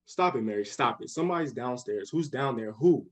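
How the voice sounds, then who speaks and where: A man's voice: medium pitch, animated, brisk. A man playing an alarmed, exasperated husband and father in a radio horror drama, exclaiming and demanding.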